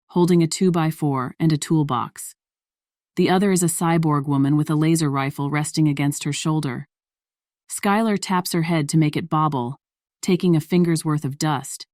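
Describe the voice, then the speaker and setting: Female narrator, deep register, scripted fiction read, dry close recording. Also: darkish tone, dry recording.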